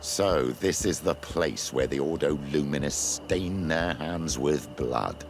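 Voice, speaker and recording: An actor playing a grave, solemn companion in a video game, with weighty formal speech. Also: male voice, very deep voice, takes it slowly, over music, full character voice. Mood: grim, solemn. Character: grave, solemn male companion cursing wrongdoers. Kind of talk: scripted video game voice acting.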